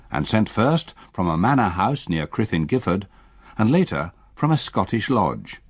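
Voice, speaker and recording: An actor playing an uneasy, educated solicitor in a gothic radio drama, with measured, articulate, old-fashioned speech. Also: male voice, on the low side, no room sound, exaggerated voice. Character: uneasy, educated male solicitor recounting a haunting.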